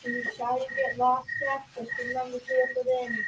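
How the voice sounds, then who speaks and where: A young female amateur playing a proud, mysterious poor old woman in a radio play, with halting, repetitive delivery. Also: high-pitched, little pitch movement, natural voice. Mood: solemn warning. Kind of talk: amateur school radio drama acting. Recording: distant mic, loud background noise.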